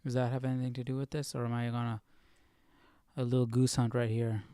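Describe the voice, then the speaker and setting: A man hosting a casual Bible study livestream, thinking aloud in an informal, halting way. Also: medium pitch, flat delivery, dark tone, clean tone, dry recording, studio quality. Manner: informal, halting, muses to himself.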